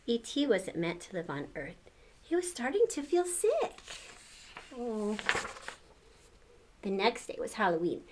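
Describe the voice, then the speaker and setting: A woman's voice: on the high side, darkish tone, slight background noise. An older woman reading a storybook aloud to children, expressively, with exclamations and questions to the listener.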